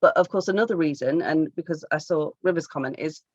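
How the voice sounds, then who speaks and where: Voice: mid-range voice, quick pace, no room sound. Podcast co-host, teasing, inquisitive, muffled dry audio. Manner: teasing, laughs a lot, asks questions. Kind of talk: casual podcast chat.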